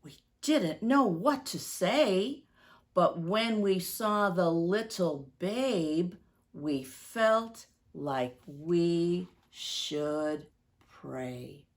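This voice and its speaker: A woman reading a rhyming story aloud to young children in an expressive, deliberate storytime manner. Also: on the low side.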